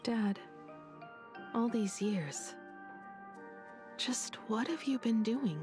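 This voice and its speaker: An actress playing a composed, melancholic young woman, soft and breathy, in a dramatic game story. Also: on the high side, lively intonation, very slow, over music. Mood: weary, bitter.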